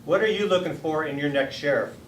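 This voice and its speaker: A middle-aged American man from San Diego giving an earnest, prepared candidate's speech with deliberate phrasing. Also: fairly high pitch. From San Diego, United States. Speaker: political candidate, former Marine. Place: meeting room at a party headquarters. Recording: echoey room, distant mic, faint noise.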